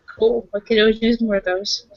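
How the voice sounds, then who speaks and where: A woman's voice: on the high side, level tone, normal speed, no room sound, highs cut off. A woman playing a tabletop roleplaying game, improvising in casual, conversational speech.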